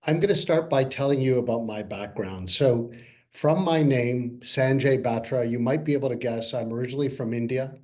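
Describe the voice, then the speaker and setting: Sixties male co-founder, earnest and measured, pitching investors over video call. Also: a little mellow. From Canada. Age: 60s. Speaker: company co-founder presenting to investors. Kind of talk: webinar presentation. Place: parents' home, by video call.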